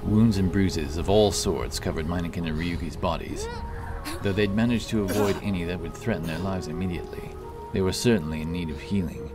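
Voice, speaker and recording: A very low-voiced man narrating a fantasy audiobook with steady, dramatic delivery. Loud music runs underneath his voice. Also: brisk.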